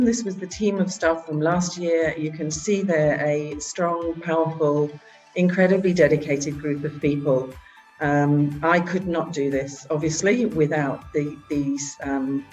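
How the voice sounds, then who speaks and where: A woman who heads a charity, presenting at a virtual annual meeting in a halting way, with frequent filler sounds. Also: low-pitched, a little mellow, low-quality recording. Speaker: head of a charity organisation.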